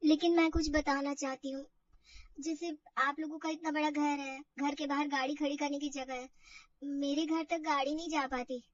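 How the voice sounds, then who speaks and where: A woman's voice: high voice, steady tone, moderate pace, no echo, recorded from a distance, muffled recording, natural voice. A woman voicing a polite, anxious young new bride in a family drama story, hesitant and deferential.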